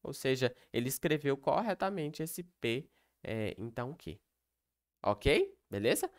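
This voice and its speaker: A man's voice: medium pitch, big pitch swings, measured pace. A young man, giving a recorded online lecture. He explains step by step and keeps checking in with the listener. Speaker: teacher.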